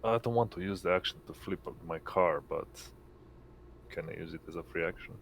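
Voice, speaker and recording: A man's voice: low-pitched, fairly quick, vocal fry, muffled recording. A man playing a tabletop game, talking casually and hesitantly as he works out his moves aloud. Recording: faint background noise on mic.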